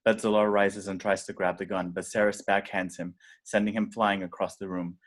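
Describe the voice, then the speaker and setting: A man reading screenplay action directions aloud in a deliberate, dramatic way. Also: low voice, little pitch movement. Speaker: stage directions reader.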